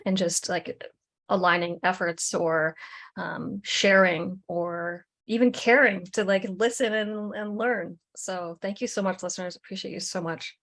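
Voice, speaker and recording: A woman co-hosting a podcast on a video call, speaking warmly and gratefully with many filler words. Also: medium pitch, fairly even intonation, measured pace. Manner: warm, thankful, many fillers. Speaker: podcast co-host. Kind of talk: casual video call chat.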